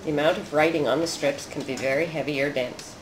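A narrator reading a scripted research demonstration in a measured, explanatory way. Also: mid-range voice, steady tone. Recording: roomy echo with noticeable background noise.

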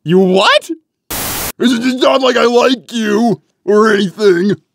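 A man voicing a dense, gruff, easily flustered young echidna warrior in a comic dub, earnest and stammering. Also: sits high, slow, a little hiss or hum, heavily acted. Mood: defensive, flustered.

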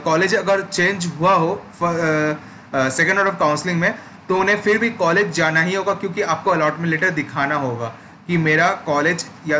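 A man's voice: fairly high pitch, bright, forceful tone, slight background noise. A young man hosting a screen-recorded tutorial, explaining steps patiently and checking in often with listeners. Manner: explanatory, repeats "ठीक है" check-ins. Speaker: education channel host.

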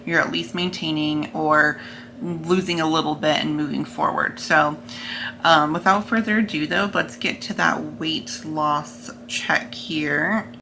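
A young American woman talks casually to camera in a bright, forward voice. Her pitch sits a little low. She is recorded indoors with faint noise behind her. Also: fairly even intonation, measured pace. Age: young adult. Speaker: vlogger. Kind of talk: casual vlog talk to camera.

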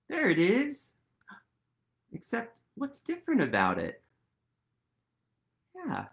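A man in his thirties reading a picture book aloud to young children, gently and expressively, with pauses and questions. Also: on the high side, vocal fry. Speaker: storytime reader.

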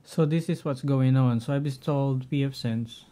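A middle-aged man with a Filipino accent, talking through his home network setup in a halting way, often clearing his throat. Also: medium pitch, warm tone. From Philippines. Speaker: tech vlogger. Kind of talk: casual screen-share walkthrough.

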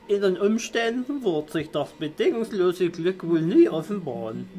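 A man in his thirties thinks aloud in a slightly high voice. His delivery is very slow and contemplative, broken by long pauses. It is an improvised monologue recorded on a camera in a small room, with faint music behind him. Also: darkish tone, rough recording. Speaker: vlogger musing on spirituality.

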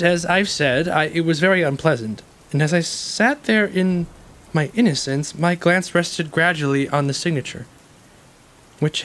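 An actor playing an anxious, educated ex-convict who justifies himself in a halting, earnest stage-play delivery. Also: male voice, a little high, a bit bright, close to an everyday voice. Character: guilt-ridden educated ex-convict man, anxiously self-justifying. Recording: clearly noisy, rain-like ambience behind.

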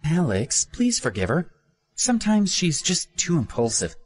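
A young woman gently coaxes a friend, her voice raised well above a man's own as the narrator puts her on. Her pleading tone stays soft and earnest. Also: a little high, wildly swooping pitch, measured pace, pitched above the performer's own voice.

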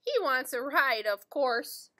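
Female primary teacher, middle-aged, high-pitched and slow, singsong picture-book read-aloud for little kids. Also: bright, forceful tone. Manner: singsong, deliberate, addressing young children. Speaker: teacher reading to children. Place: indoor school classroom.

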